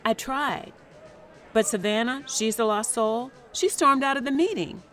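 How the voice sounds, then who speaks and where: A woman's voice: a little high, a little slow, fairly bright tone, soft background music. A woman playing an eager, defensive woman caught meddling in a scripted drama.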